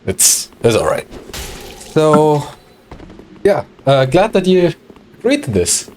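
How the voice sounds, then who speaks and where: A man from Austria whose first language is German, hosting a casual online interview. He talks haltingly, laughs often, and has a cough. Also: on the high side, wildly swooping pitch, a little mellow, slight background noise. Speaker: interviewer and channel host.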